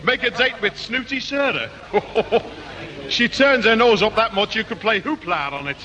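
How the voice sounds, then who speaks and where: A man's voice: high-pitched, expressive, normal speed, dull audio, a crowd in the background. A man playing a cocky, blustering pub regular, pompously mangling long words in 1960s radio comedy. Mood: jovial, mocking. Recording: noisy, reverberant old radio recording.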